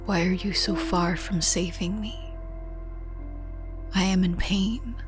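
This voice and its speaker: Woman whispering a prayer super slow, lowish, new-age music under it. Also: steady tone. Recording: soft music bed under voice.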